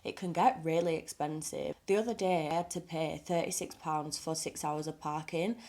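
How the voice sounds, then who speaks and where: A woman with a low voice talks casually to her camera, sounding stuffed up and nasal from a cold. She is blunt and outspoken and swears without fuss. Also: fairly even intonation, normal speed, a little mellow. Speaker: makeup artist. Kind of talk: casual reaction vlog commentary.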